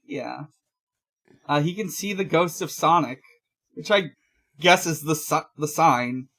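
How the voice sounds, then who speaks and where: Co-host for a chat podcast: slow-paced, matter-of-fact, slightly hesitant casual storyteller. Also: mid-range voice, a bit bright. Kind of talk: casual recap of a fan comic.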